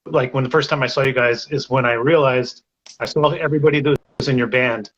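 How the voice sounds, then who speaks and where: A man who is a guest on a podcast, telling an animated anecdote full of "like". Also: medium pitch, brisk, highs cut off. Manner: animated anecdote teller, lots of "like". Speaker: guest, author. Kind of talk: casual chat.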